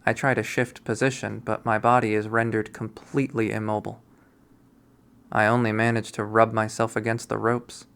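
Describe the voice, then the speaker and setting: A man narrating a visual novel readthrough in a deliberate, storytelling manner. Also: on the low side, flat delivery, gravelly, fairly bright tone, clean tone. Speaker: game streamer reading a visual novel aloud.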